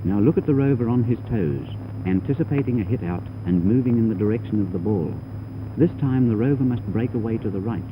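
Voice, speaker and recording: Low-voiced man on a muffled old film soundtrack. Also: fairly quick, noisy background. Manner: formal, didactic. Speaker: instructional film narrator. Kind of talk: 1930s scripted instructional film narration.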